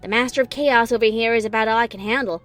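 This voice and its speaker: A man's voice: very high voice, quick pace, a bit bright, faint music behind, pitched above the performer's own voice. A man reading a fanfic, voicing a wry young female pony in a raised voice. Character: young female unicorn mare, breezy and wry.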